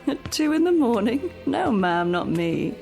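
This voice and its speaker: An actress playing a patient, practical housekeeper in a radio drama, with a soothing, coaxing manner. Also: female voice, a little high, very animated, a little slow, vocal fry, a little mellow, faint music behind, full character voice. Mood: attentive. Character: kindly, patient, practical female daily housekeeper.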